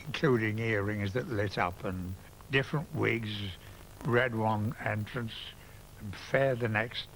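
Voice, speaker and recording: A man's voice: lowish voice, takes it slowly, a little hiss or hum, low-quality recording. A man fondly reminiscing about pantomime dames in an interview, emphatic in his praise. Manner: warm, fond, emphatic. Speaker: interviewee, theatre insider.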